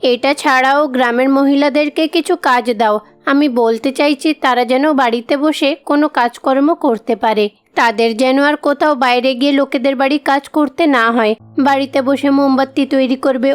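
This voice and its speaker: A woman's voice: high voice, natural voice. A woman voicing a devoted, generous village headman's wife with an earnest, reassuring manner in a cartoon story. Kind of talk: cartoon story dialogue acting. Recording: music behind the voice.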